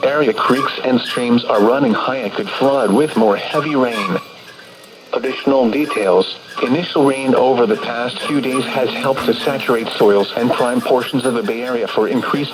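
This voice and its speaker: A synthetic American male voice of medium pitch delivers official weather alerts. The reading is formal and impersonal, broken into stiff segments. It comes through a weather radio broadcast with clear noise behind it. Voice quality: synthetic text-to-speech sound. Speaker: automated weather radio announcer. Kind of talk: scripted emergency weather bulletin.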